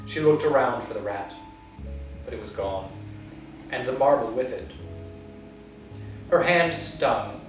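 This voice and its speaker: A man reads a children's book aloud for a library, taking his time and letting his pitch rise and fall expressively. The room is strongly echoey and he sits some way from the microphone. Soft guitar music plays underneath. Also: distant mic. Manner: slow, expressive storytelling. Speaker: library storyteller. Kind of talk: storybook read-aloud.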